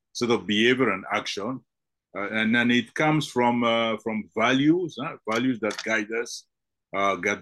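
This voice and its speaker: A middle-aged man from the Congo, a podcast guest answering with many halting fillers and repeated words. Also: on the low side, fairly bright tone, no room sound.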